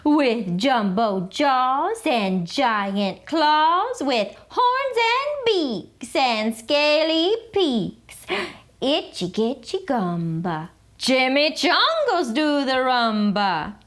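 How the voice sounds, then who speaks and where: A woman's voice: sits high, very animated, very slow, heavily acted. A woman voicing a chorus of cheerful little male chihuahuas, chanting in a sing-song rhythm. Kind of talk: storybook read-aloud.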